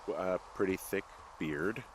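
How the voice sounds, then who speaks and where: An actor playing a laid-back middle-aged male fire lookout in a video game, American, casual and deadpan with a slightly defensive edge. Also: deep voice, measured pace, very noisy. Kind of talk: naturalistic video game dialogue.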